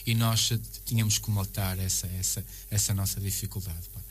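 A man with a European Portuguese accent giving a casual interview as a coach, haltingly and often repeating words and phrases. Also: sits low, little pitch movement. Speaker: basketball coach, interview guest. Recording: clear background noise and hum.

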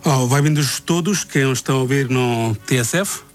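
A man in his forties with a Bangladeshi accent, a native Bengali speaker, answering radio interview questions in halting, word-searching Portuguese. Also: medium pitch, faint music behind. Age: 40s. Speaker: guest.

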